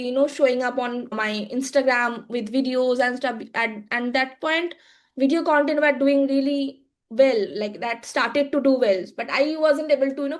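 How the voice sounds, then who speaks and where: A woman, a guest on a podcast interview, telling her story reflectively with frequent fillers and halting restarts. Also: high voice. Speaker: guest, social media agency founder.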